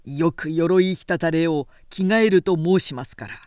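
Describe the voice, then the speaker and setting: A woman's voice: fairly low pitch, normal speed, darkish tone, no room sound, clean studio sound, lightly acted. A woman playing a sly male samurai retainer in a storybook, mocking and knowing in politely archaic speech. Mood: mocking, wry.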